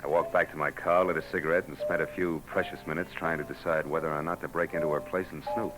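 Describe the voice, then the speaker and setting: An actor playing a sardonic hardboiled private detective who also narrates, in a 1940s radio drama. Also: male voice, a bass voice, fairly even intonation, fast talker, loud music behind the voice, low-quality recording. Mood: narrating. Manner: dry, sardonic. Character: wisecracking hardboiled male private eye.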